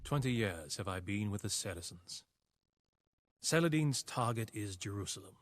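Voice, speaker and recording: An actor playing a weary, reflective captured crusader knight, narrating a game story in a solemn, measured way. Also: male voice, fairly low pitch, lively intonation, close to an everyday voice. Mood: grave, reflective. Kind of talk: scripted narration. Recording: game cutscene with music underneath. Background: music.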